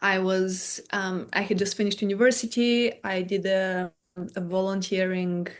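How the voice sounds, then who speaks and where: A woman in her thirties from Bulgaria, a podcast guest telling her story in a relaxed, soft-spoken way that fades quietly at the ends of phrases. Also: mid-range voice, unhurried. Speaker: podcast guest, meditation teacher. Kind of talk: casual conversation, storytelling.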